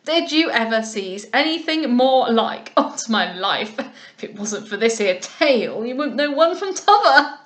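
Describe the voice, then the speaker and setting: A woman reader playing a boisterous, coarse old male sea captain who speaks loudly and laughs at others. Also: very high-pitched, sing-song, measured pace, full character voice. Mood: mocking glee. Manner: loud, rough, laughing, countrified speech.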